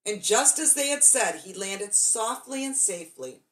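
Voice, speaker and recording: A woman's voice: medium pitch, very animated, normal speed, a bit bright. A woman storyteller reading a children's bedtime story expressively, drawing out key words for dramatic emphasis.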